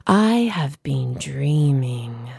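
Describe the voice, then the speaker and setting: A woman reads spoken-word poetry in a very deep, dark voice with a lot of creak. Her delivery is sensual and drawn out. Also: very animated, extremely slow, no room sound, studio quality. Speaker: writer reading own piece. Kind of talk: poetic spoken-word reading.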